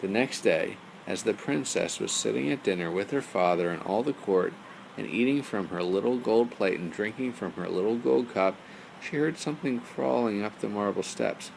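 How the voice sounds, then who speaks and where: A man tells a bedtime story for young listeners. His voice is low with a gravelly creak, and the delivery is simple storytelling. Faint noise sits behind him. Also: animated, normal speed, poor audio.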